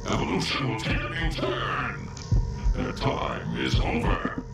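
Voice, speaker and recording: An actor voicing a vengeful, menacing male virus program from a video game, with a gravelly, distorted voice and grand declarations. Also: medium pitch, big pitch swings, measured pace. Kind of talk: video game villain monologue. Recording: heavy echo, distant, over loud game music.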